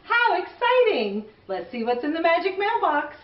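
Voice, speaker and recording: A woman's voice: extremely high pitch, sing-song, moderate pace, faint music behind. A woman around her forties presenting playfully to young children in a gushing, exclamatory way. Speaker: children's read-aloud show host. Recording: roomy, distant, slightly dull sound.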